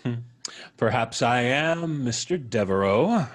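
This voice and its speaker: An actor playing a polite, probing, quietly menacing visitor in an old-time radio mystery, musing deliberately with frequent hums. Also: male voice, mid-range voice, expressive. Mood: sly, menacing.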